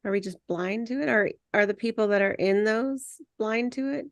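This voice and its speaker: A woman's voice: mid-range voice, moderate pace, no room sound. A woman sharing her thoughts casually and reflectively in a group discussion.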